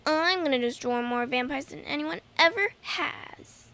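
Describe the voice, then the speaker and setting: A woman's voice: sits high, a little slow, pushed and bright, exaggerated voice. A woman voicing an eager, playful nine-year-old girl training as a vampire hunter, in a radio drama. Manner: playful, hams it up. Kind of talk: hobby radio drama acting.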